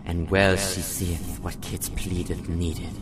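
A courtly young prince played by a man recounts his misfortune in dramatic, archaic language. The voice sits on the low side, with clearly audible music beneath it. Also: little pitch movement, slow. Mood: wistful. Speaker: narrator. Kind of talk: dramatic storytelling in archaic prose and verse. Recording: music under narration.

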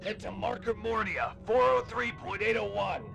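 An urgent soldier broadcasting by radio in an audio drama. His voice is high, sharp and heavily rasping, strained as he gives orders over faint music. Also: moderate pace, full character voice. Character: urgent male military vox broadcaster. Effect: radio transmission.

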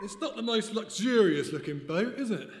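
High-voiced, cheeky British son. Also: male voice, lively intonation, normal speed. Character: cheeky, loyal grown son and helper of lady sleuth. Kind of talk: live radio play acting. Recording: echoey hall, live stage.

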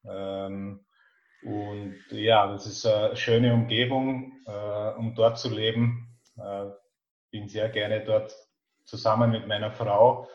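An Austrian man in his fifties speaks as a podcast guest. He keeps to a narrow, even pitch and picks his words with some hesitation. The recording is dull and echoey. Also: slow. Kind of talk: casual interview about his life. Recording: dull, echoey remote-call sound.

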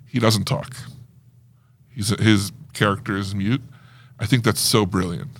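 A man with a fairly low voice speaks as a podcast co-host. He talks in the casual, unscripted style of a film review chat. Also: fairly even intonation, measured pace.

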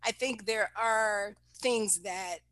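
A woman chatting casually, animated and playful, teasing her co-host and laughing often. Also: medium pitch, slow, dry recording. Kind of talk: casual chat.

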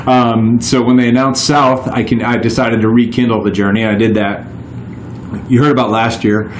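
A man's voice: mid-range voice, flat delivery, normal speed, echoey room. A man in his forties, a guest on a podcast, telling his stories in a casual, rambling way with many restarts. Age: 40s. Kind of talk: casual chat.

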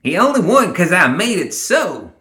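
A man's voice: sits high, sing-song, unhurried, sharp tone, echoey room, pitched above the performer's own voice, exaggerated voice. A man voicing a crotchety, defiant old female gremlin with a folksy, snappish way of talking in a fantasy audiobook. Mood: triumphant, scornful.

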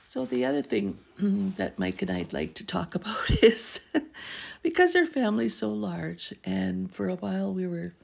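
A middle-aged woman from Canada, hosting a podcast and telling a family story in casual chat, clearing her throat as she loses her voice. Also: medium pitch, big pitch swings, normal speed, a little mellow. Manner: laughing, self-deprecating jokes. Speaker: podcast host.

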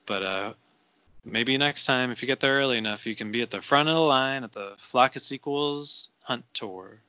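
A man co-hosting a comedy podcast, dry and playful, quick to laugh. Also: mid-range voice, pushed and bright, smooth, dry recording, lo-fi sound. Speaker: podcast co-host. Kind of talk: comedic casual chat.

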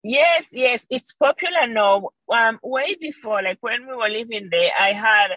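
A woman's voice: a little high, measured pace, no room sound, poor audio. Enthusiastic woman, Venezuelan Spanish accent. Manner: warm, enthusiastic, laughs often, restarts phrases. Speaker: podcast guest, wine educator. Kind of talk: casual interview talk.

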